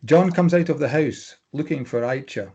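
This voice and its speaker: A man reading screenplay stage directions as scripted narration, plain and measured, with clipped scene headings. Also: on the high side, darkish tone, breathy edge, muffled recording.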